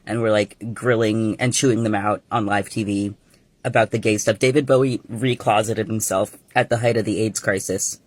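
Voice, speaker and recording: A man's voice: on the low side, fairly even intonation, normal speed, no echo. A man with an Irish accent ranting in an exasperated way in a social media clip.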